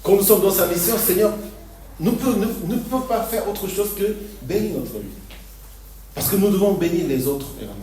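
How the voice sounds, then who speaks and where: Male pastor, stop-start delivery with frequent laughs, mellow voice; distant mic in an echoey church hall. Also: high voice, animated. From Argentina. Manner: halting, repeats words, laughs often. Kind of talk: sermon.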